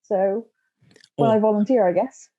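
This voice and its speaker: A woman's voice: mid-range voice, steady tone, normal speed, muffled recording. A woman from England introducing herself hesitantly on a video call. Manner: hesitant, unsure.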